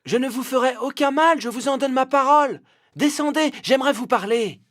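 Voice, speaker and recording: A woman's voice: high-pitched, fairly quick, lightly acted. A woman playing a gallant, earnest young prince in a children's cartoon fairy tale. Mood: earnest, pleading. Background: faint music.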